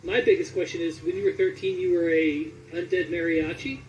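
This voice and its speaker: A man's voice: on the high side, fairly even intonation, fairly quick, darkish tone. A man hosting an interview and asking questions in a casual conversational style. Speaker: interviewer, channel host. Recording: distant mic, faint background noise.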